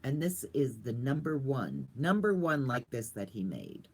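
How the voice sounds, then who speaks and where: Lively older woman, rambling chat. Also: very deep voice, unhurried, breathy edge. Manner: rambling, goofy, chatty. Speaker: vlogger. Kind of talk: casual livestream chat.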